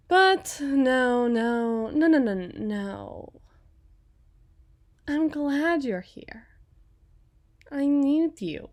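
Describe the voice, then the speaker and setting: A woman's voice: sits high, heavily acted. A woman playing a menacing, mockingly sweet female mob boss in a dramatic ASMR roleplay, taunting and drawing out her words for emphasis. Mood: playful, coaxing.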